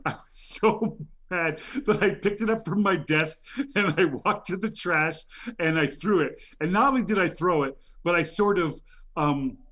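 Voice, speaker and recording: A man's voice: a little high, moderate pace, a bit bright. A man ranting in an improvised comedy bit, indignant and rambling, stammering through many fillers. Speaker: nominee on a comedy show.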